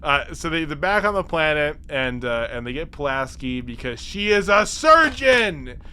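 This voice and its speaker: A man hosting a podcast, giving a casual, comedic episode recap with halting, repetitive, sweary phrasing. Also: on the high side, very animated, noisy background. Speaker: podcast host.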